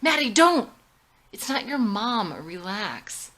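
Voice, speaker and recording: A woman's voice: medium pitch, big pitch swings, slow, a bit bright, pitched above the performer's own voice, exaggerated voice. A woman reading the part of a dismissive husband in a drama script, placating and defensive. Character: dismissive, placating husband who plays guitar.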